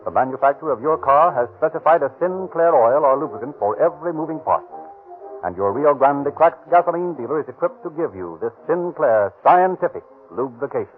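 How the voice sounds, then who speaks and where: A man announcing and reading a radio commercial as a smooth sales pitch. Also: expressive, a little fast. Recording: tinny 1930s radio recording with faint music.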